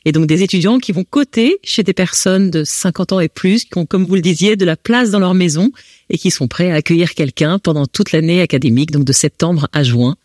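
A woman's voice: on the low side, fairly quick, a little mellow, dry recording, studio quality. A woman with a French accent answers interview questions as founder of a housing association, explaining with frequent restarts. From France.

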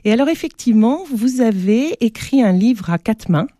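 A woman hosting a radio interview, chatty and quick to react. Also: on the high side, lively intonation, dark tone, dry recording. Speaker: radio host. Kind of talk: radio interview and scripted intro.

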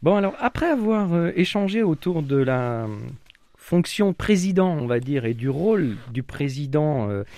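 A man hosts a radio football show from a studio, leading the interview with frequent pauses and fillers. His pitch swings widely as he speaks. The sound is dry and close to the mic. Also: lively intonation, darkish tone, no echo.

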